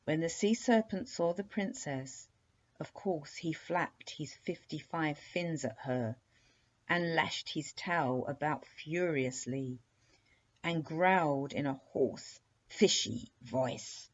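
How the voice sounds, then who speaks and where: A woman reading a children's bedtime story as an expressive narrator. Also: sits low, dull audio.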